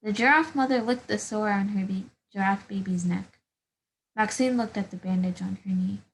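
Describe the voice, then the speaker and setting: A woman's voice: mid-range voice, normal speed, breathy edge, low-quality recording. A woman volunteer reading a children's picture book aloud over a video call. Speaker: volunteer storybook reader. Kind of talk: children's storybook read-aloud.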